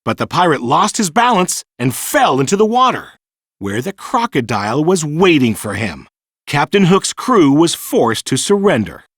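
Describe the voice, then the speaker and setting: A man reading a children's storybook in an animated, dramatic storytelling manner. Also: a little high, moderate pace, slightly rough, no echo, clean studio sound. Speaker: storybook narrator. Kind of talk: scripted children's storybook narration.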